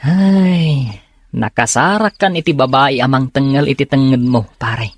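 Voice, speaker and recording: A man's voice: medium pitch, a little slow, no room sound, studio quality, natural voice. A man playing a skeptical, questioning young man in an Ilocano radio drama. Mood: wry. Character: skeptical, inquisitive young city boarding-house friend.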